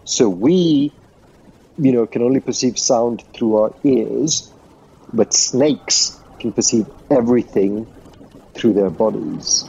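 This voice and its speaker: A man from Sri Lanka with a mellow, husky voice, co-hosting a podcast. He argues earnestly, rambling through fillers and restarts. The sound is a little dull and lo-fi. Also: mid-range voice, takes it slowly. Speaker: podcast co-host. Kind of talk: casual debate.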